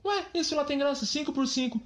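A woman's voice: sits high, fast, lightly acted. A woman voicing a goofy, cheeky, dim young boy in a Brazilian children's cartoon, whiny and clownish. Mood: sleepy, grumbling. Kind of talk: children's cartoon comedy dialogue.

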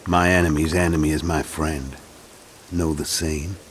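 An actor playing a hulking, calm, menacing assassin in a video game, deliberate and coolly confident. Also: male voice, very deep voice, a little hiss or hum. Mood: cold, menacing.

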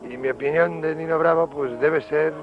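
A man's voice: on the high side, steady tone, moderate pace, music in the background. A man, a composer, speaking politely and formally into a handheld mic in a studio. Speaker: composer, guest. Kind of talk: greeting viewers and praising the singer. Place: recording studio by a piano. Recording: tinny 1970s TV film sound.

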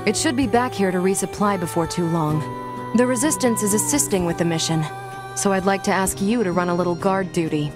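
A woman's voice: mid-range voice, a little fast, natural voice. Woman acting female camp leader, businesslike, composed, video game, music behind. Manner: matter-of-fact, composed.

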